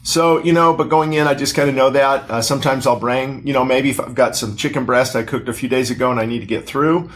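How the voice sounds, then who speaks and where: A man's voice: mid-range voice, fairly even intonation, brisk, hardly any pauses. Middle-aged male pastor reading his own book into a close podcast mic; loose, conversational, lots of ums and restarts, mid-range voice. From Southern California. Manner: conversational, frequent fillers and self-corrections. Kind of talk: casual reading with asides. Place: small studio with posters on the wall. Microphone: desk-mounted podcast microphone.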